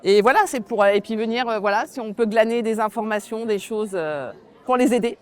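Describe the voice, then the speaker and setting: A woman's voice: fairly high pitch, a little fast, a faint crowd. A woman in a casual unscripted interview, talking in short, choppy, repeated phrases. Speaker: visitor, a mother.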